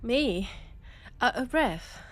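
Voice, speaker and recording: A young woman with a French accent playing a laid-off space miner in an audio roleplay, chatting hesitantly with her best friend and trailing off into pauses. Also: on the high side, animated. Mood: apologetic.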